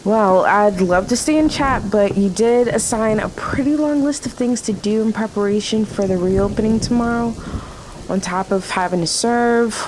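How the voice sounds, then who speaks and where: A woman's voice: medium pitch, darkish tone, a little hiss or hum, natural voice, low-quality recording. A woman playing a harried, sarcastic night-shift waitress in a radio play, curt but placating with the boss.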